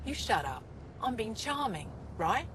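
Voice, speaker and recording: An actress playing a cheeky, eager alien lookout soldier in a video game, chatty in manner. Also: female voice, on the high side, very animated, a little slow. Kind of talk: dramatic game dialogue. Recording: clear ambient noise behind.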